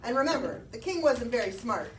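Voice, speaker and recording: A woman tells a puppet-show story to young children in a high, animated voice. Her phrasing is simple and interactive, and the sound is echoey. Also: brisk. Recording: roomy, distant mic, faint noise.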